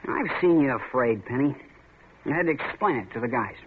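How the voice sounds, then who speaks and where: Swaggering young bully, taunting, lively high voice, tinny old radio sound. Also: male voice, fairly quick, husky. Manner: goading, needling, swaggering. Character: taunting young male tough, Red. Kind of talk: 1940s radio acting. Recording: tinny lo-fi old radio broadcast with faint hiss.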